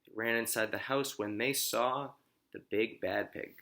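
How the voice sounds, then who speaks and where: A man reading a children's storybook aloud like a teacher, expressively, pausing to explain and ask questions. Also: medium pitch, steady tone, sharp tone.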